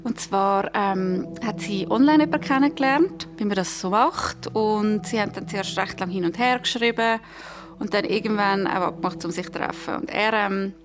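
A woman's voice: mid-range voice, normal speed, music in the background. A woman with a Swiss German accent telling anecdotes casually as a podcast co-host, informal and giggly.

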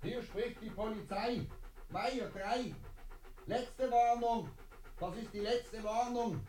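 A man's voice: high-pitched, takes it slowly, darkish tone, reverberant, distant mic, slight background noise, poor audio. A man playing a stern, threatening policeman in a radio play. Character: stern policeman giving a last warning.

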